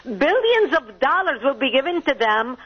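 Lebanese-born woman guest, high voice, forceful arguing, muffled phone line. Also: sing-song, moderate pace. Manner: emphatic, argumentative, rising to outbursts. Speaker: political commentator guest. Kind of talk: call-in radio interview.

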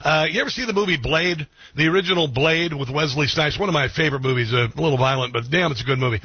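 A man's voice: fairly high pitch, fast talker, husky, no room sound. A man hosting a talk radio show, giving combative, sarcastic commentary. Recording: slightly muffled radio audio.

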